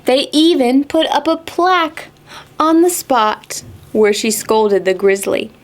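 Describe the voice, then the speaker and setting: A woman with a fairly high voice tells stories to preschoolers at a very slow pace. She is expressive and clearly phrased, often turning to the children with simple questions. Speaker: children's librarian, storytime host. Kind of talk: expressive read-aloud storytelling for preschoolers.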